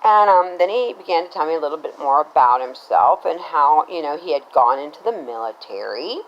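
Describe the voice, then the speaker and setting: A woman's voice: deep voice, lively intonation, fairly quick, highs cut off, a little hiss or hum. A middle-aged American woman telling a personal story casually, in a rambling, conversational way, often checking in with the listener. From Cincinnati, Ohio, United States.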